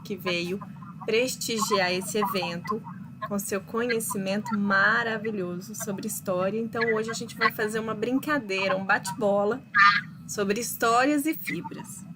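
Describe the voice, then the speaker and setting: Woman host, unhurried and explanatory, on a video call with faint background noise. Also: medium pitch. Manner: slow, explanatory, thinking aloud with fillers. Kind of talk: casual live chat.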